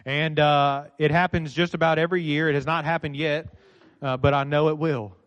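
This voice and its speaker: A middle-aged pastor preaches in a church sanctuary, his voice sitting a little high for a man. He swings between ringing, forceful lines and quiet, almost confiding ones as he makes his points. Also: flat delivery, moderate pace, dull audio. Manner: dynamic preaching, swinging between loud and soft. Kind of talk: sermon.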